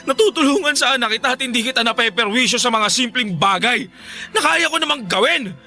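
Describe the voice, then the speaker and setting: High-pitched, bitter, anguished husband. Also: male voice, lively intonation, a little fast, bright, forceful tone, soft background music, full character voice. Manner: anguished, snappish, emotional delivery. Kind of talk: Filipino radio drama acting.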